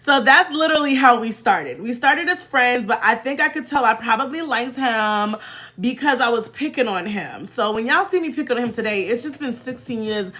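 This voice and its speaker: Casting: American woman, 30s, high and very bright voice, playful livestream storyteller, chatty and teasing. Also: moderate pace. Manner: animated, playful, teasing, laughs often. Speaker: livestream host. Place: small indoor room in front of a banner.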